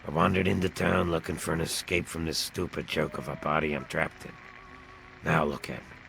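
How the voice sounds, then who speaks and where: Deeply gravelly, downtrodden ghoul bartender. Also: male voice, level tone, fairly quick, a bit bright, a little hiss or hum, exaggerated voice. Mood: weary, grateful. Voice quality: gravelly rasp. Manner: nervous, self-pitying. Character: downtrodden ghoul bartender man, timid and bitter. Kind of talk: video game character dialogue.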